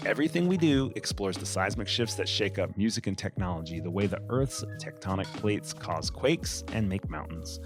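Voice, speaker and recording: A man's voice: lowish voice, lively intonation, normal speed, hardly any pauses. A male podcast host reading a scripted outro in an upbeat, friendly way. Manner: upbeat, friendly promotional read. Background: music bed.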